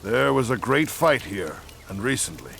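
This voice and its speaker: A man's voice: medium pitch, very animated, normal speed, vocal fry, a little hiss or hum. A man voicing a grave, fatalistic older dwarf warrior in a video game, speaking with solemn weight. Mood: grim. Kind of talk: video game cutscene acting. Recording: voice over loud cinematic music.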